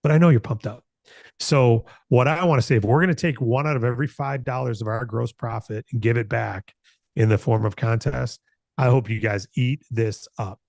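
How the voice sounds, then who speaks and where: Gravelly-edged American man in his fifties, exhorting. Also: mid-range voice, normal speed, darkish tone, no room sound. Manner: earnest, exhorting, conversational, repeats phrases for emphasis. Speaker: company founder hosting a broker announcement meeting. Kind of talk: informal motivational business talk.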